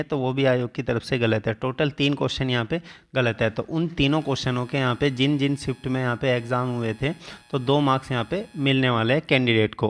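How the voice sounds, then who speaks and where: A man speaking as a coaching teacher, explaining an exam update step by step and listing figures. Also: mid-range voice.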